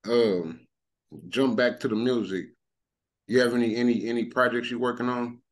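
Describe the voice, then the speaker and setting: A man's voice: mid-range voice, steady tone, moderate pace. A man with an Oklahoma accent hosting a casual podcast, easygoing and conversational, often saying "you know what I'm saying.". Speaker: podcast host.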